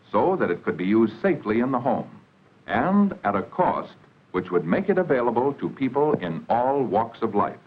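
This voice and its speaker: Husky American man narrating vintage educational film. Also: mid-range voice. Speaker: documentary narrator. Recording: dull, band-limited 1940s film soundtrack. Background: old film soundtrack.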